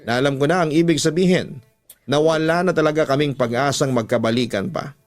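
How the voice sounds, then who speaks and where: A man's voice: fairly high pitch, fairly quick. Filipino man storytelling over music. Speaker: radio storytelling host reading listener letters. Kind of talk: dramatic scripted narration and advice, Tagalog love-story program. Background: background music.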